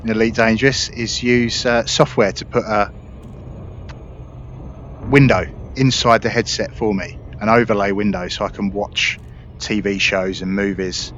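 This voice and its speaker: Slightly dull, low-voiced man commentating gameplay. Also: fairly even intonation, unhurried, a bit bright. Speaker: gaming video host. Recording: slightly dull audio, faint game noise.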